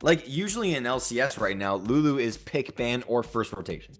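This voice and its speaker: Lively young male esports caster. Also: mid-range voice, a little fast, faint music behind. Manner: lively, explanatory, animated. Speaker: color caster. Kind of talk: esports commentary.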